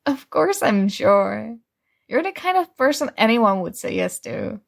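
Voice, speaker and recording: A young woman performing ASMR roleplay as a sweet, oblivious friend, earnestly giving love advice with little hesitant pauses. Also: on the high side, sing-song, creaky, heavily acted. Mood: supportive, upbeat. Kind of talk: soft ASMR roleplay acting.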